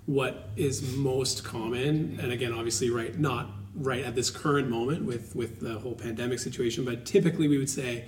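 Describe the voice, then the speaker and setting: A man's voice: mid-range voice, steady tone, moderate pace, hardly any pauses, slight background noise. A man hosting a podcast, moving between scripted introduction and casual conversation. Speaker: podcast host.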